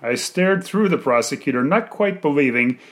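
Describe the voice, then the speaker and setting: A man reading his own first-person short story aloud in a measured, articulate way. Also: fairly high pitch, lively intonation, fairly bright tone.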